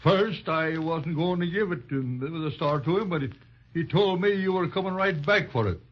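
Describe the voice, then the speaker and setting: Husky roly-poly toy policeman. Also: fairly high pitch, lively intonation. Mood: sheepish. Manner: folksy, halting. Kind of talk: 1930s children's radio serial acting. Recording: muffled 1930s radio transcription.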